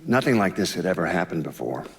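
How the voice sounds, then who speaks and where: An actor playing a sober, reflective witness giving documentary-style interview testimony for a video game. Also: male voice, sits low, very animated, fast talker. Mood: grave, reflective. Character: sober male witness recounting a mob killing, reflective.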